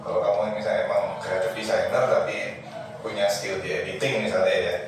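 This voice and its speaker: A man's voice: low-pitched, little pitch movement, brisk, background noise. A man with a Jakarta Indonesian accent giving casual interview advice, informally explaining things with quoted examples and rhetorical questions. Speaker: interview guest, creative industry professional. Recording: very echoey room, distant mic, music behind.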